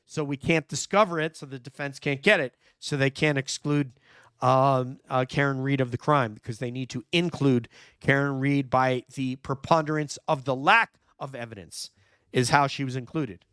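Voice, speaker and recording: Raspy-voiced guy doing a true crime stream, keeps saying no question, otherwise smooth voice, real dry sound. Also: medium pitch, steady tone, moderate pace, a bit bright, no echo. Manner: emphatic, repeats "no question", thinks aloud with fillers. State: hoarse, nearly losing voice. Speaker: true crime livestream host. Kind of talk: live commentary reading viewer chat.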